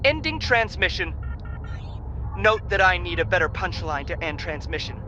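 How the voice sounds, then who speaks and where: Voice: mid-range voice, sing-song, moderate pace, bright, forceful tone, muffled recording, a little hiss or hum. A performer playing a smug, self-congratulating commanding officer heard over a transmission. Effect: radio transmission.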